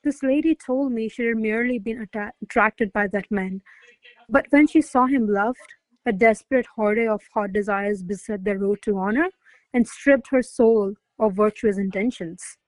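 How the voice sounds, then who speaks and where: A woman's voice: mid-range voice, moderate pace, no echo, close to an everyday voice, rough recording. A woman playing a haughty noble lady who commands and questions in a radio play. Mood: coy, pensive. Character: imperious, jealous noble lady, probing and commanding. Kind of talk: stage verse drama read as radio play.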